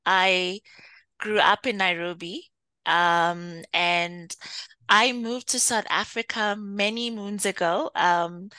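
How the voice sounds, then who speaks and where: A woman from Kenya being interviewed, speaking thoughtfully with frequent pauses and easy laughs. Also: fairly low pitch, bright, forceful tone, no echo. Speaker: interview guest, data executive. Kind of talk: conversational interview.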